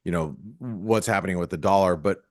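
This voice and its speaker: A man co-hosting a webinar, talking casually about markets in a relaxed, rambling way with many fillers. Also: low voice, brisk. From Taiwan-born American. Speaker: webinar co-host.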